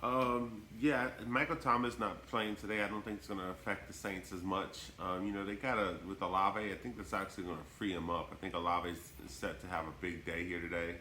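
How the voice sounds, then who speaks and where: A very deep-voiced man with a stuffy, congested voice talks football in a laid-back way. His voice is bright and forceful. It rings in an echoey room with a faint hum behind. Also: steady tone, a little fast, few pauses. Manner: laid-back, lots of "you know" and "um". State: congested from a cold. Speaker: podcast co-host. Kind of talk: casual sports podcast chat.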